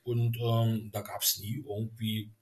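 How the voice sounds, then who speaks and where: A middle-aged man from Berlin, a guest in a casual interview, telling long stories slowly with many ums and uhs. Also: lowish voice, flat delivery, darkish tone, rough recording. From Berlin, Germany. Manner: hesitant, many fillers, rambling storytelling. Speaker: interview guest, former youth footballer.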